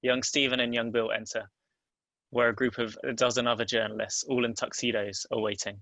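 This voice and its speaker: Guy narrating script directions at a table read, really crisp bright voice, dry close sound. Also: mid-range voice, level tone, a little fast, no room sound. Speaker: stage directions reader.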